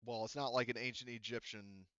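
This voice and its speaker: A man acting as game master, narrating a tabletop game in a casual, halting way and relaying what characters say. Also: medium pitch, steady tone, fairly bright tone, no room sound, muffled recording. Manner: hesitant, casual, relaying character speech indirectly.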